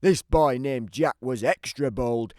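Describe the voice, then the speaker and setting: A man's voice: medium pitch, measured pace, darkish tone, no room sound, studio quality, lightly acted. A man voicing a big, grumpy, always-hungry giant who tells his side of a children's storybook in a theatrical, emphatic way. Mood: aggrieved, grumbling. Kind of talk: scripted children's storybook narration.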